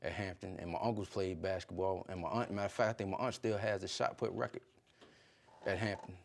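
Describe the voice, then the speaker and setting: Male coach from Virginia, middle-aged, lower register, rapid casual delivery with colloquial phrasing. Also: fairly even intonation, gravelly. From Virginia, United States. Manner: colloquial, peppered with "you know". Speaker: high school football coach, interview guest. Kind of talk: casual chat.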